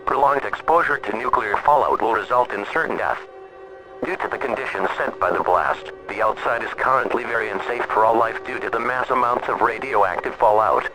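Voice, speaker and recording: A man performs a grave emergency alert announcer for a fictional broadcast. He reads official warnings at a medium pitch, formal and methodical. The delivery stays measured and impersonal. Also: natural voice, low-quality recording.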